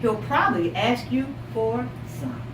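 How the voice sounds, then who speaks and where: Female classroom storyteller, high animated delivery, encouraging and expressive, captured from a distance in a noisy room. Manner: encouraging, repeats children's answers; expressive, drawn-out emphasis on story words. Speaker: teacher reading a picture book to young children. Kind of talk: interactive read-aloud storytelling with questions. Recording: echoey room, distant mic, loud background noise.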